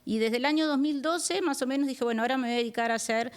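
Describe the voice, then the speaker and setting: A woman's voice: high voice, fast. A middle-aged woman from Uruguay, a guest talking frankly and directly about her career, often restarting her sentences. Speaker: guest economist. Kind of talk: conversational interview.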